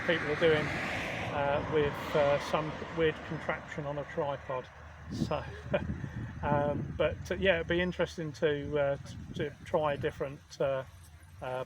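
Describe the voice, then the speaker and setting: Man, fairly high voice, radio operator chat, hesitant, clear outdoor background noise. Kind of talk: casual radio contact chat. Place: outdoors at a portable radio station.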